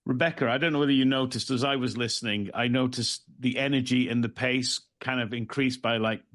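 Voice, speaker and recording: A man's voice: medium pitch, steady tone, fairly quick, a bit bright. A man hosting a podcast discussion in a casual, conversational style. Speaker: podcast host and session moderator. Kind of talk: casual moderated discussion on a video call.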